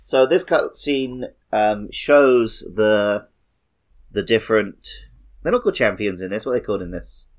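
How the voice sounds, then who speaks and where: A man's voice: lowish voice, lively intonation, a little slow. A man co-hosting a podcast, chatting casually about a video game. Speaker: podcast host.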